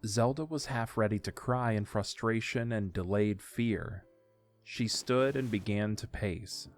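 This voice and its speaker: A man's voice: on the low side, measured pace, faint music behind. A man narrating a scripted audio drama as its storyteller.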